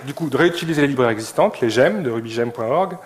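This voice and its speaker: A man's voice: medium pitch, lively intonation, fast talker. A middle-aged man giving an informal technical conference talk in hesitant, piecemeal phrases. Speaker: conference speaker. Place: indoor conference venue.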